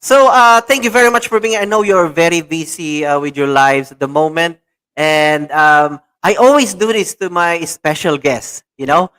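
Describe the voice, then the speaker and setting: A man's voice: on the high side, normal speed, fairly bright tone, smooth. A man hosting an online talk show, enthusiastic and repetitive, with many fillers. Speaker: show host.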